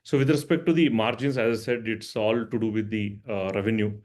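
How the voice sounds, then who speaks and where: A man's voice: on the low side, steady tone, a little fast, a little mellow. A man speaking as a company finance executive, explaining answers on an earnings call and often checking in with "right?".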